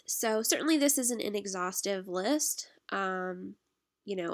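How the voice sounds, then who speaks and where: A woman instructor giving a recorded lecture while stuffed up from allergies, filling pauses with lots of "um". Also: mid-range voice, gravelly. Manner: lots of "um" fillers, relaxed explaining. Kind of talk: recorded lecture over slides.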